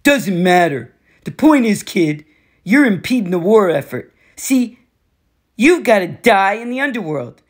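A boastful male god of war with a taunting, cocky way of talking, as a woman reader puts him on. He sounds loud and pleased with himself, his pitch leaping around a lot. It is an audiobook character voice. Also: very animated, measured pace. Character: smug, menacing adult male god of war.

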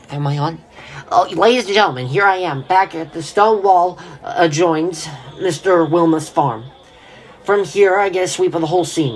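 A performer playing an excitable, stammering field reporter in a 1930s-style radio drama, urgent and awed. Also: mid-range voice, close to an everyday voice, poor audio. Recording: crowd noise behind.

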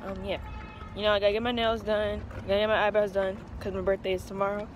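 A woman's voice: medium pitch, level tone, fast, muffled recording, noisy background. A young woman chatting to her viewers in a casual vlog, filmed on a phone held at arm's length in a small tiled bathroom. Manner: chatty and bubbly, addressing viewers directly. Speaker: vlogger. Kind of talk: casual vlog chat to camera. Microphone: phone camera held at arm's length.

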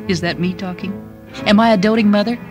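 A woman's voice: medium pitch, natural voice. An actress playing a doting, chatty young mother in a radio drama, confiding and gushing expressively.

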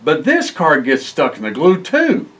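Older guy reading a picture book to kids, takes it slow, really expressive, voice goes up and down. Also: fairly high pitch. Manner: expressive, with exclamations and short asides. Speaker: guest reader of a children's picture book. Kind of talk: storybook read-aloud for children. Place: indoor library room, seated by bookshelves.

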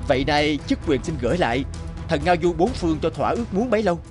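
A man's voice: fairly high pitch, very animated, quick pace, faint music behind. An actor playing a principled young male county magistrate in a cartoon fairy tale. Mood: resolute.

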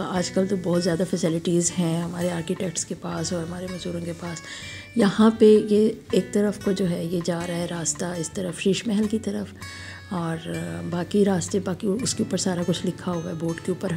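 A hoarse woman from Pakistan with a sore throat, narrating a casual, admiring walking tour for her vlog. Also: on the low side, dark tone. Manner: chatty, admiring, guiding viewers along. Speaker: travel vlogger.

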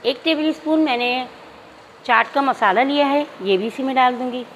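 A woman hosting a cooking channel, calmly walking viewers through a recipe step by step in a home kitchen. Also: high-pitched, normal speed, slight background noise, poor audio. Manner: calm, methodical, addresses viewers directly. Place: home kitchen, hands only on camera.

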